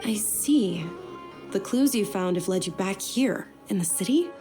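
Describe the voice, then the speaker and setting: An actress playing a gentle young noblewoman in a game, speaking softly and courteously. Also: medium pitch, expressive, unhurried, over music, close to an everyday voice. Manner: soft, courteous, careful. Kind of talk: game voice acting.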